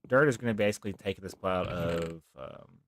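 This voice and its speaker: A young man with a deep voice, rambling softly through casual live gameplay commentary as a streamer. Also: moderate pace, slight background noise. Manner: rambling, talks softly, near whispering.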